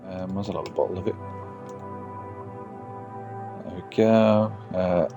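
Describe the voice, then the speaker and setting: A man live-streaming a game, reading the text aloud haltingly and muttering casual asides as he plays. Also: low voice, fairly even intonation, creaky, highs cut off. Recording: music behind the voice.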